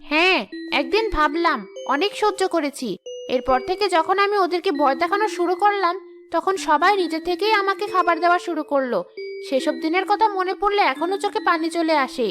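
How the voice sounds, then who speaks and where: A woman's voice: extremely high pitch, brisk, over music. A woman voicing a brash, greedy village ghost in a cartoon, with a blunt, grumbling, boastful manner. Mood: bitter, self-pitying. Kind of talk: animated cartoon dialogue.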